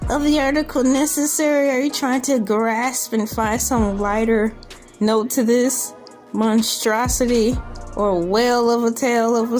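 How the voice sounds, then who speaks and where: Female commentator, higher-pitched and slow, joking and incredulous in casual asides, faint music underneath. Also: a little mellow. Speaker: video host. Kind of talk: casual comedic commentary on a news article. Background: background music.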